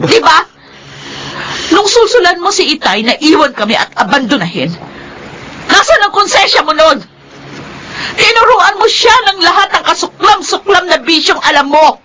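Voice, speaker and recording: A woman's voice: sits high, expressive, normal speed, sharp tone, slight background noise, poor audio. A woman acting a kind but wounded working wife in a Filipino radio drama, with an emphatic, emotional delivery and a Filipino accent. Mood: angry, accusing. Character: kind working wife Meli, bitter toward her uncle.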